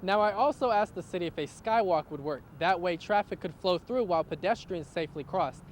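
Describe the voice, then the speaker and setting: A man's voice: sits high, brisk. A man delivering scripted television news reports as a field reporter. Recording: outdoor location sound with traffic noise.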